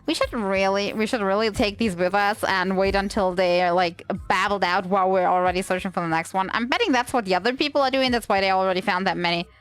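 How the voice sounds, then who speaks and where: A woman's voice: mid-range voice, steady tone, fast, hardly any pauses, smooth, faint music behind. A woman livestreaming a game with casual, rambling commentary, playful and self-deprecating.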